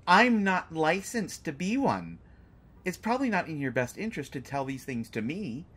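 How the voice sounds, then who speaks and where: A man's voice: on the high side, big pitch swings, normal speed, a bit bright, breathy edge, heavily acted. A man playing a sarcastic, unafraid hostage who teases a villain in an audio drama, with exaggerated mock reactions. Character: sarcastic, unafraid male hostage taunting a lovesick supervillain. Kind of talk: scripted roleplay audio drama monologue.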